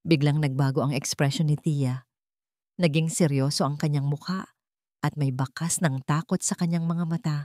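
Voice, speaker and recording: A woman narrates a romantic drama in a low voice. The delivery is scripted first-person storytelling, and the sound is dry and close, with very little room in it. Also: steady tone, normal speed, darkish tone, no room sound.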